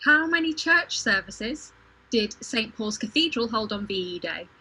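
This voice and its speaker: Casting: female quiz host, higher-pitched and bright, informal and chatty delivery. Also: lively intonation, normal speed, husky, rough recording. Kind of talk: casual livestream quiz chat. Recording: home livestream video call.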